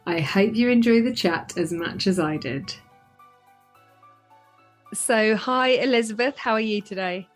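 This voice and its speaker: A woman's voice: mid-range voice, a little slow, pauses often, faint music behind. A woman hosting a podcast interview, chatty and upbeat. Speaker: podcast host.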